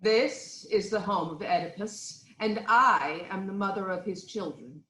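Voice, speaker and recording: An actress playing a regal queen, an anxious but firmly reassuring wife, in a formal, deliberate reading of Greek tragedy. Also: mid-range voice, pushed and bright, reverberant, poor audio. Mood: courteous, curious.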